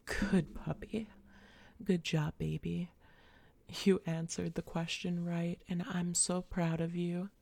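A young adult woman in an intimate roleplay, speaking to the listener in a soft, teasing, coaxing way with frequent whispers. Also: on the low side, level tone, takes it slowly, gravelly, no room sound. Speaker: audio roleplay performer.

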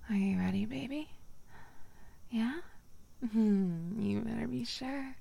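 A woman performing a dominant, teasing audio roleplay, speaking in soft whispers broken by low murmurs. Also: vocal fry, slightly rough.

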